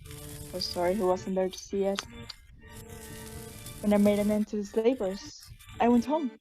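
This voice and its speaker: A young woman playing a guilty, unhinged caretaker in a radio play, breathy and often whispering, with halting, insistent self-justification. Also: mid-range voice, mellow, faint music behind, lightly acted. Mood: smug, unhinged. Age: young adult. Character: guilty, unhinged caretaker, anxiously feigning calm politeness. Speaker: student actor.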